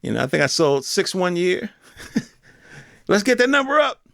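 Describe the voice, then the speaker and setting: A man's voice: high voice, big pitch swings, measured pace, creaky, pushed and bright, no echo, clean studio sound. An American man hosting a podcast, talking off the cuff in a casual, colloquial opinion monologue full of rhetorical questions. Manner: colloquial, rhetorical questions, mimics imagined speakers. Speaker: podcast host.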